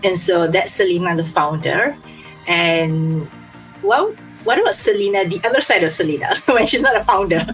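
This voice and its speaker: Low-pitched female interview host. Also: normal speed, faint music behind, poor audio. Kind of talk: scripted introduction and conversational interviewing. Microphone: remote video call.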